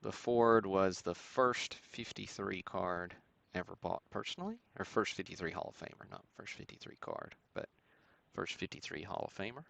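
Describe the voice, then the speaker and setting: A man hosting a live stream, giving matter-of-fact commentary in a halting way with pauses. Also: low voice, lively intonation, gravelly, fairly bright tone. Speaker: host of a sports card break stream. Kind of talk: live show-and-tell commentary on vintage cards.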